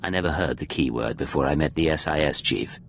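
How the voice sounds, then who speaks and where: A man's voice: very deep voice, a little fast, creaky, a bit bright, a little hiss or hum, heavily acted. A man playing a hard, guarded secret agent in a video game, speaking curtly and defiantly. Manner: curt, guarded. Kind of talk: video game cutscene voice acting.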